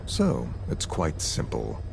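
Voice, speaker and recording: An actor playing a calm, scheming villain who speaks in a slow, measured and quietly threatening way. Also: male voice, a bass voice, vocal fry, exaggerated voice. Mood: menacing. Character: cold, menacing male villain, calm and scheming. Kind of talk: game cutscene dialogue. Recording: noisy game audio recording.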